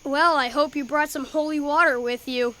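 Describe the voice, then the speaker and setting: A woman's voice: high voice, fairly even intonation, normal speed, pushed and bright. A young female-sounding performer playing a brash, taunting boy prankster in an amateur radio drama. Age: child. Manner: brash, teasing.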